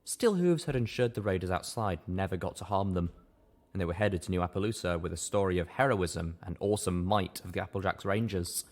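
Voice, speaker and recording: A man's voice: deep voice, animated, fairly quick. A man reading a first-person story as scripted audiobook narration. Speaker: audiobook reader.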